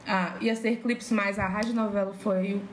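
A woman's voice: mid-range voice, steady tone, moderate pace. A woman with a Cearense Brazilian accent chatting casually and enthusiastically as a podcast guest. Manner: gushing, enthusiastic. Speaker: podcast guest, slam poet. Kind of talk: casual chat. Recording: roomy echo, faint background noise.

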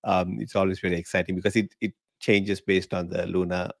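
Middle-aged man from Sri Lanka, low and dry, easygoing and laughing podcast guest. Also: a little fast, no echo. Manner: relaxed, chatty, laughs often. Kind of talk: casual interview chat. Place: home living room. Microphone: podcast mic in frame, video call.

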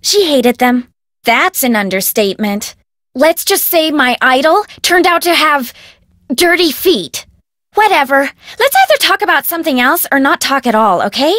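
A woman's voice: high voice, sing-song, moderate pace, fairly bright tone, dry recording, studio quality. A woman voicing a bubbly, bossy, spoiled rich young heiress in a video game, with an American accent and perky, dramatic, casual phrasing. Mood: miffed. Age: young adult.

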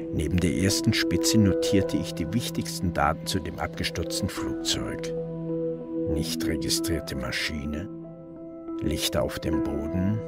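A man playing a brooding detective who narrates his investigation in a scripted German crime radio drama. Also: sits low. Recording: prominent music bed behind narration.

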